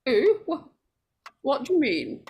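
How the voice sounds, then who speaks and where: A woman's voice: high-pitched, wildly swooping pitch, slow pace, muffled recording, exaggerated voice. A woman playing a nervous, superstitious, insistent lady in an old-time radio horror play, stammering and repeating herself. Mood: anxious pleading.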